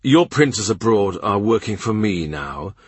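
A man's voice: fairly low pitch, lively intonation, unhurried, slightly rough, no room sound. A man voicing a shrewd middle-aged royal minister who talks in a dry, cutting, sardonic way. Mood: cool, sardonic. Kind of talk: audiobook character reading.

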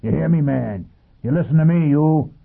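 A fanatical fugitive from a science fiction story , acted out by a male reader, savage and defiant. He exhorts in short bellowed bursts with a gravelly rasp. The recording is thin and phone-like, with a narrow band. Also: lowish voice, moderate pace. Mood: urgent, rough.

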